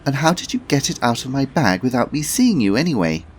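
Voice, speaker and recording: A man's voice: medium pitch, very animated, a little hiss or hum, voice pushed up, lightly acted. A man voicing a shy young woman who speaks hesitantly. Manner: hesitant, halting. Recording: roomy echo.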